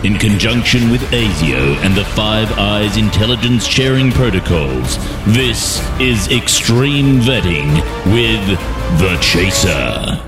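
A man's voice: lowish voice, very animated, slow. A man reading a mock-serious, ominous promo as the show announcer. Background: music.

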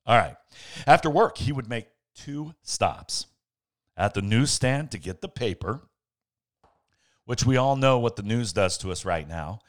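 A man reading a bedtime story aloud as a podcast host, with playful dramatic pauses and casual asides. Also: medium pitch, expressive.

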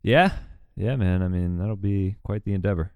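A man's voice: low-pitched, moderate pace, a little mellow, smooth, no room sound. A man from Georgia in a laid-back, joking casual chat, swearing now and then. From Georgia, south of Atlanta.

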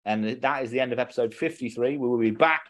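A man's voice: medium pitch, fast, fairly bright tone. A British man co-hosting a podcast in a casual, jokey, chatty way. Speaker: podcast co-host. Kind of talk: casual comedic chat.

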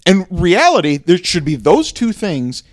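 A man's voice: high-pitched, huge pitch swings, a little slow, dry recording, clean studio sound. Rambly podcast guy, lots of restarts, voice bouncing up and down. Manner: rambling, hesitant, many restarts and agreeing "right"s. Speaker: podcast co-host. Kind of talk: casual conversational chat.

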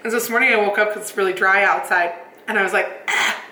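A young woman co-hosting a podcast, casual and chatty, her voice a little raspy. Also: medium pitch, steady tone, fast, bright, forceful tone. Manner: chatty, laughs often. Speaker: podcast co-host. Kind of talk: casual chat. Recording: echoey room, faint hiss.

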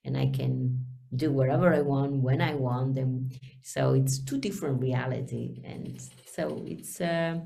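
A woman with a low voice and a strong Italian accent chats as a guest in an interview. Her tone has a gravelly edge, and her English is halting, with many fillers while she searches for the right word. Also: steady tone, warm tone. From Italy. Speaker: guest singer. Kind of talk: casual interview chat.

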